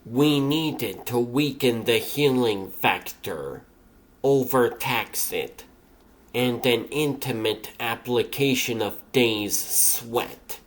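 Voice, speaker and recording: A man voicing a cool, clinical female scientist in a comic dub, precise, polite and detached. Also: medium pitch. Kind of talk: comic dub character acting.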